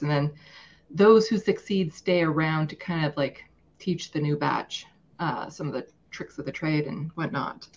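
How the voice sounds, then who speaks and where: A woman's voice: sits low, lo-fi sound. A woman speaking as a guest in a casual political podcast discussion, explaining her views conversationally.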